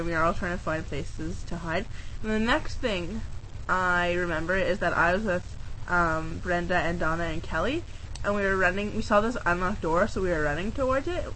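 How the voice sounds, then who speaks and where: A woman's voice: on the low side, fairly bright tone, slight background noise, low-quality recording. A young woman from Canada co-hosting a casual podcast, telling a long story with lots of "like" and filler. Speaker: podcast co-host. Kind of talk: casual chat.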